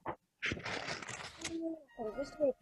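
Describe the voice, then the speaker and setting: A woman's voice: very slow, mellow, dull audio, slight background noise. A woman playing a sleepy, trusting little girl in a radio play. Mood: small, pleading.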